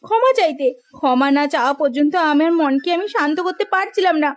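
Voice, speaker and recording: A woman's voice: high voice, lively intonation, fast. A woman voicing a remorseful young sister-in-law in a moral cartoon, speaking in an earnest, pleading way. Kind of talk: Bengali moral cartoon voice acting.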